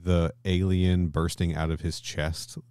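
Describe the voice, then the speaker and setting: Very deep-voiced man, casual podcast talk. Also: level tone, unhurried, dark tone, clear, resonant tone, no room sound, studio quality. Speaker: podcast co-host. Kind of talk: casual discussion.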